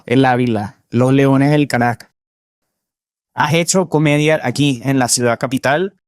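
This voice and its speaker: Entrevistador venezolano de voz algo aguda. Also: male voice, a little high, clean tone, no room sound, studio quality. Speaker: interview host. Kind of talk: measured conversational interviewing.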